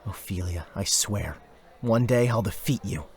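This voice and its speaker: A man's voice: fairly low pitch, unhurried, darkish tone. A man playing a sullen, hot-headed young heir and younger rival in an audio drama. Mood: brooding resolve. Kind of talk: audio drama character acting.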